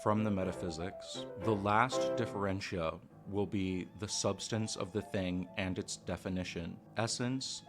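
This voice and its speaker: A man giving a measured, scripted voice-over commentary in a lecturing manner. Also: sits low, steady tone. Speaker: commentary narrator. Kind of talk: scripted narration. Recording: music bed under voice.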